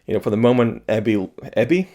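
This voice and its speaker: A slightly hoarse man reviewing video games in a casual, rambling solo talk, thoughtful and full of restarts. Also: fairly low pitch, animated, fast, mellow, muffled recording.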